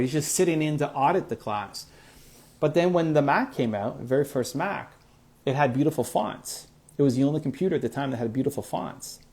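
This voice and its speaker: A man in his forties hosts a live motivational stream, answering viewer questions one after another. He speaks at a middling pitch in an energetic, encouraging, off-the-cuff way, full of casual asides. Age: 40s. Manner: energetic, encouraging, conversational with fillers. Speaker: motivational livestream host.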